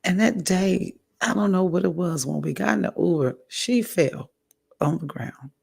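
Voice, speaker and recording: A woman vlogger with a hoarse, raspy voice, giving a candid personal update in a slow, halting way and often clearing her throat. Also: on the low side, a little mellow. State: hoarse, recovering from a stroke. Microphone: audio only, home recording.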